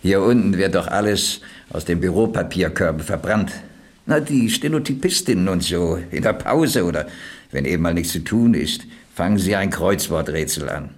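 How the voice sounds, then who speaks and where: An actor playing a plain-spoken boiler stoker who reminisces in a folksy, reflective way in a radio crime drama. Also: male voice, low voice, expressive, moderate pace. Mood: explaining, admiring. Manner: folksy, ruminative storytelling.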